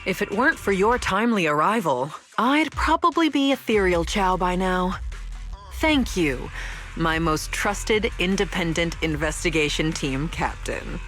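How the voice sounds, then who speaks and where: A woman's voice: on the low side, animated, measured pace, fairly bright tone. An actress playing a playful, rebellious young woman researcher in a video game, teasing, breezy and sure of herself. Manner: teasing, breezy, self-assured. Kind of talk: scripted video game story dialogue. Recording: music behind the voice.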